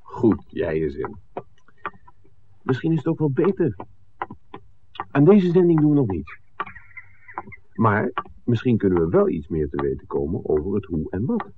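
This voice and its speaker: A man's voice: on the low side, big pitch swings, measured pace, pauses often. An actor playing a worldly, principled man with a wry, bantering way, teasing his friend in a 1960s Dutch radio drama. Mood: wry, resigned. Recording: muffled, noisy old radio drama recording.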